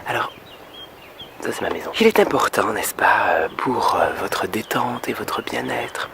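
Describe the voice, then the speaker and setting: Low, gravelly, rambling Canadian man vlogging in French accent. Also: animated, noisy background. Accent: French, deliberately European French with some Québécois turns. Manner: mock-earnest, rambling and halting, drifting into asides. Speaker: singer and vocal coach presenting a video. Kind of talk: casual, humorous vlog tutorial.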